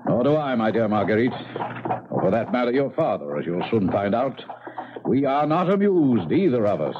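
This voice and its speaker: Guy acting haughty old-time gentleman suitor, pompous n threatening, swoopy pitch, noisy 70s radio play. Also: very animated, moderate pace, dull audio, close to an everyday voice. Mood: cold indignation. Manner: pompous, formal, theatrical diction. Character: haughty, domineering 18th-century gentleman suitor, coldly menacing. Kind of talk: 1970s radio drama acting. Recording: noisy, band-limited 1970s radio broadcast.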